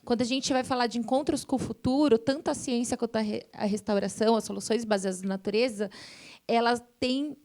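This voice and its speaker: A Brazilian woman speaks as an expert panelist at a forum. Her voice sits on the high side, with noticeable vocal fry. She explains things step by step, dropping in frequent conversational tag words. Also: fairly even intonation, fairly quick, darkish tone. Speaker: environmental science panelist.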